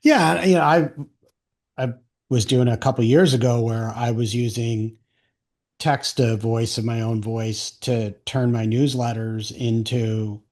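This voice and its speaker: Medium-pitched man hosting an interview. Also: fairly even intonation, a little slow, darkish tone. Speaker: podcast host. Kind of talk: conversational interview.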